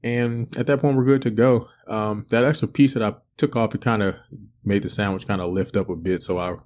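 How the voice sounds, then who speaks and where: Male home cook, 30s, low-ish voice, laid-back rambling narration with plenty of fillers. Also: fairly quick. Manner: relaxed, rambling with many fillers. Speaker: cooking channel host. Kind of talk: casual cooking voice-over. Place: home kitchen.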